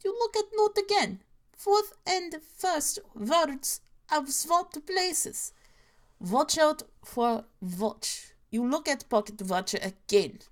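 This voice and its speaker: A woman reading parody horror stories in an inaccurate mock Russian accent, dropping articles in clipped broken grammar as a deadpan narrator. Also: high-pitched, slow, voice pushed up.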